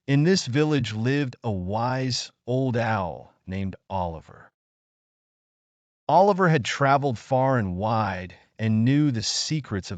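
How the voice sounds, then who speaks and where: Guy telling a kids bedtime story, low voice, really animated, dry close sound. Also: no echo, highs cut off. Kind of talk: scripted children's bedtime story narration.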